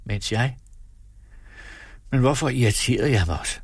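A man's voice: mid-range voice, gravelly, breathy edge, no room sound, clean studio sound, voice pushed up. A man voicing a weary, bitter, commanding wife and mother in a story. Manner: terse, scornful, soothing by turns.

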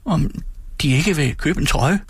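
A timid young boy from a storybook, read by a man, pitched a little up. He is humble and polite, with a hesitant, trembling manner. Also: slightly rough, dry recording, clean studio sound. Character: frightened, humble young boy selling his jacket.

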